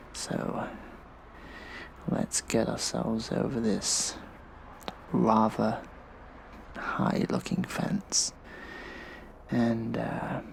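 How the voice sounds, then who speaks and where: A man playing a smug, mischievous hacktivist vigilante in an audio drama, speaking in a hushed, breathy, halting monologue. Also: mid-range voice, fairly even intonation, slight background noise, full character voice, low-quality recording. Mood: gloating, mischievous.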